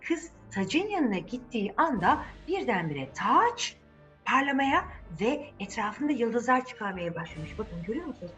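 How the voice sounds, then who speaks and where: A woman's voice: a little high, very animated, normal speed, a bit bright, lo-fi sound, soft background music. A woman telling a fairy tale to children, speaking to them directly and repeating words for emphasis. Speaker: children's storyteller, channel host.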